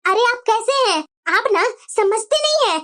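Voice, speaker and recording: A woman's voice: very high voice, lively intonation, moderate pace, a bit bright. A woman voicing a possessive, stubborn middle-aged mother-in-law in a family moral-story cartoon, whiny and argumentative. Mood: worried, possessive.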